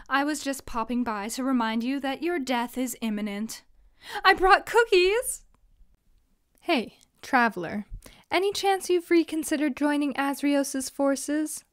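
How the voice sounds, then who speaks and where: A woman's voice: sits high, lively intonation, measured pace, dry recording, studio quality. A woman playing a chirpy fiend, sweetly polite and menacing, in a fantasy audio drama. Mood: cheerful menace. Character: sugary, chirpy female fiend serving an evil baron.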